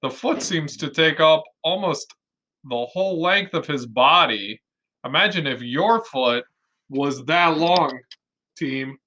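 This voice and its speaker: Bright-voiced man, unhurried kindergarten teacher. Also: fairly high pitch, slight background noise. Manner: expressive, explanatory, speaking simply to children with questions and emphasis. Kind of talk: read-aloud lesson for young children.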